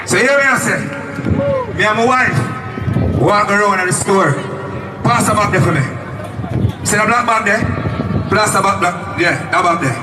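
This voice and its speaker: A Jamaican street performer with a high voice spins an animated story, acting out the people in it. He is picked up from a distance outdoors, with echo and a loud crowd and traffic around him. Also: normal speed, long pauses. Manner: animated, calls out to people, acts out quotes.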